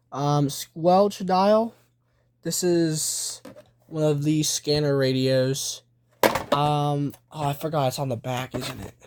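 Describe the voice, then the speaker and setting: Higher-pitched man, casual show-and-tell. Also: mellow. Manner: hesitant, thinking aloud. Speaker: video creator showing a collection.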